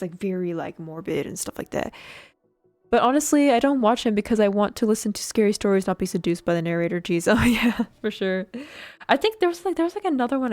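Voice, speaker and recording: Woman streamer, casual rambling chat over a craft build, filler-heavy and giggly, soft music underneath. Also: fairly high pitch. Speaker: streamer building a model kit.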